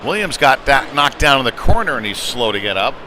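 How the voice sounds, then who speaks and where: Man calling hockey over loud rink noise. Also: mid-range voice, very animated, fairly quick. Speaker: live sports commentator. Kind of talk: live hockey play-by-play commentary. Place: indoor community ice rink. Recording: noisy ice arena ambience.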